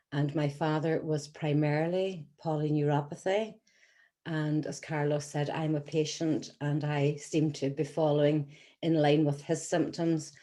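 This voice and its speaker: Low mellow Irish woman in her sixties. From Scotland, then Ireland. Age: 60s. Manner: earnest and measured, with frequent ums. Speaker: patient advocate giving a talk. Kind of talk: personal story told to an audience.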